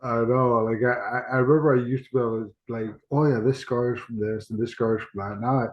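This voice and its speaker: A man's voice: medium pitch, fairly even intonation, fast talker. A middle-aged man co-hosting a casual podcast with his daughter, cracking dad jokes and laughing often. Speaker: podcast co-host, trainer. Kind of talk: casual chat. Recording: dull lo-fi online audio.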